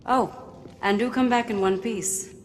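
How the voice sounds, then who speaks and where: A woman playing a poised, sly confidante in a video game cutscene, teasing and unhurried. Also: a little high, fairly even intonation, slight background noise, close to an everyday voice. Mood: wry teasing.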